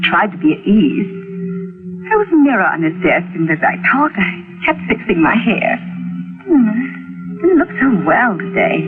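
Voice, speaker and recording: An actress playing a vain, anxious middle-aged wife in an old-time radio mystery, speaking in a dramatic, confiding way. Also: female voice, mid-range voice. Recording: tinny vintage radio recording with music.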